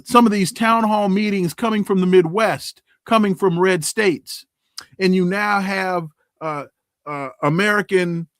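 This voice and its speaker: A man's voice: sits high, no echo, studio quality. A man guesting as a political scientist on talk radio, giving impassioned commentary with frequent restarts.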